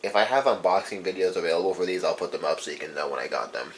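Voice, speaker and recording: Man, low voice, informal, unscripted, rambling collector presentation, slight background noise. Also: rapid-fire, rough recording. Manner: rambling, informal, self-correcting. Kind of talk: casual unscripted show-and-tell.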